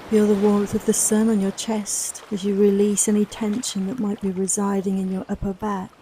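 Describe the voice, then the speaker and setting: A woman leading a guided relaxation meditation in a soft, soothing, unhurried, reassuring manner, with long pauses between phrases. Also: mid-range voice, monotone, slight background noise, low-quality recording. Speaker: guided meditation and yoga nidra teacher.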